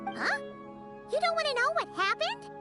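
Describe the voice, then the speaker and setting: A tiny fairy sidekick for a video game. The voice is extremely high and childlike, bouncing around in lively, cheerful exclamations over background music. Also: female voice, normal speed. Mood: surprised. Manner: refers to herself in third person, exclamatory. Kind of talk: game cutscene voice acting.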